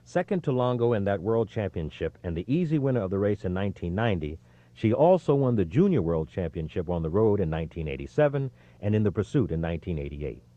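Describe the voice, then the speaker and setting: A man reading scripted nonfiction as an audiobook narrator, in a measured, expressive storytelling delivery. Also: fairly low pitch, no echo, lo-fi sound.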